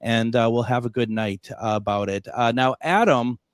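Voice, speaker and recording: A man's voice: medium pitch, normal speed, no room sound, studio quality. A man, hosting a radio talk show, chatting casually and reading his own ads, restarting often with "uh".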